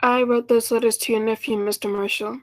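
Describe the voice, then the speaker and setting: A woman's voice: on the high side, flat delivery, a little fast, lightly acted. A woman playing a lonely, earnest schoolteacher in her thirties in a 1940s-style radio play, speaking politely and tenderly. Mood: apologetic, confessing.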